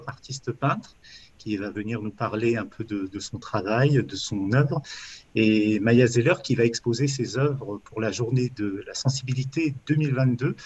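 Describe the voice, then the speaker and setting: A man's voice: mid-range voice, a little mellow, slight background noise. A man hosting, giving a spoken introduction to an interview. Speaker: host, event organizer.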